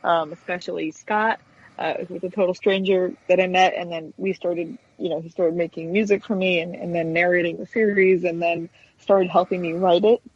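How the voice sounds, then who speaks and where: A woman's voice: lowish voice, steady tone, normal speed, husky, no echo, muffled recording. An American woman chatting casually as an interview guest, telling anecdotes in a hesitant, rambling way peppered with "you know". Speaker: guest, stop-motion puppet animator.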